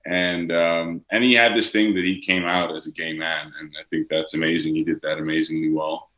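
Dutch-native male actor, low voice, crisp bright edge, loose interview chat. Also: a little fast, echoey room, low-quality recording. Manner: relaxed, rambling, with many fillers.

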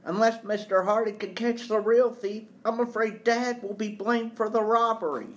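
A man's voice: high voice, steady tone, moderate pace, pitched above the performer's own voice, close to an everyday voice. A man voicing a shaken, earnest teenage boy who is anxious for his father, in a storybook. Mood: worried.

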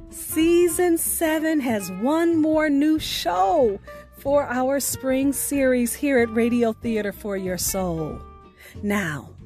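High-pitched woman podcast host, slow and deliberate. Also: a little mellow. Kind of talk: scripted podcast sign-off and announcements.

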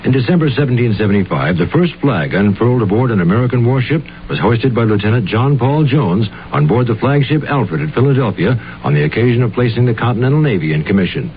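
Brief: male announcer, low voice, brisk factual read, tinny phone-like sound with faint hiss. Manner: brisk, even factual reading. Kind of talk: read radio ad.

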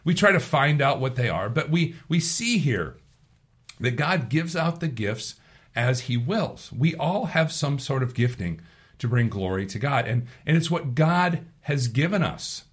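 Hoarse, husky man giving a devotional. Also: medium pitch, big pitch swings, measured pace. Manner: earnest, repeats words while thinking. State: hoarse from allergies. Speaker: pastor. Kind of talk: devotional sermon and prayer.

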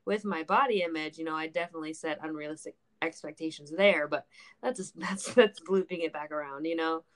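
A woman's voice: low-pitched, brisk. A woman in her mid-twenties chatting casually and candidly on a podcast, blunt and self-deprecating, swearing freely. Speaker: podcast co-host.

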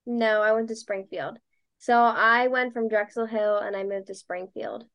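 A young woman from Delaware County with a Delco accent, chatting loudly as an interview guest and filling her talk with "like.". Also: medium pitch, steady tone, normal speed, lo-fi sound. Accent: Delco (Philadelphia area). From Delaware County, Pennsylvania. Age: young adult. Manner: loud, talkative, lots of "like". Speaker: guest, dancer and podcaster. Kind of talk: casual interview chat.

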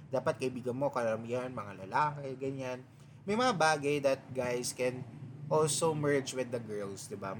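A young Filipino man in his twenties talks easily in a loose, reflective monologue, often checking in with his listener. His voice sits in an ordinary middle register. A clear, steady noise runs under the recording. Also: mid-range voice. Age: 20s. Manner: chatty, mixes English and Tagalog, ends phrases with "diba?". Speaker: podcast host.

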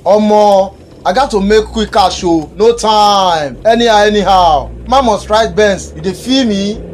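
A man's voice: high voice, unhurried, a little hiss or hum. A man with a Nigerian accent acting a brash, greedy young street gang boss in a Pidgin radio drama, bossy and emphatic. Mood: greedy, restless.

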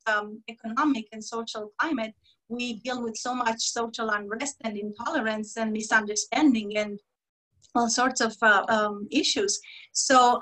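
Woman, fairly high voice. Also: a bit bright, low-quality recording. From Romania. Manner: measured, explanatory, many fillers and pauses. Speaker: guest, diversity trainer. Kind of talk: podcast interview.

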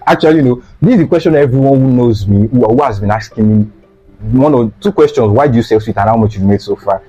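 Young Nigerian man, earnest interview guest, dark mellow tone, slightly lo-fi, faint music behind. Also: medium pitch, brisk, husky. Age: young adult. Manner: earnest, repeats phrases for emphasis. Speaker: guest, student sweet seller. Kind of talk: casual interview talk. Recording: slightly dull lo-fi sound.

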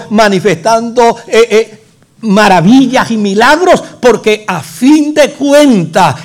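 A man with a Puerto Rican accent preaching a sermon, building emphatic repetitions and rhetorical questions into fervent peaks. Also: high voice. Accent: Puerto Rican Spanish. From Puerto Rico.

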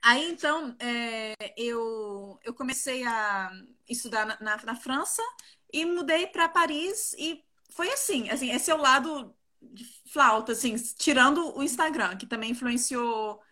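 A woman's voice: a little high, unhurried, pushed and bright. A woman, chatting casually and a little giggly as an interview guest on a video call. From Brazil, partly raised in South Korea. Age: 20s. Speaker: interview guest, professional flautist.

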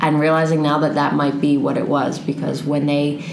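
A woman's voice: low voice, flat delivery, normal speed, gravelly. A young woman from Pennsylvania, a guest telling her story in a casual, chatty interview. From Pennsylvania, United States. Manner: chatty, storytelling, easy laughs. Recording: echoey room, faint background noise.

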